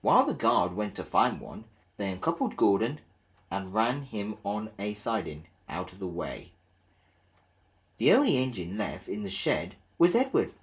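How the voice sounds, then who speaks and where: A woman's voice: rumbling low, very animated, unhurried. A woman reading a children's story aloud as a scripted narration.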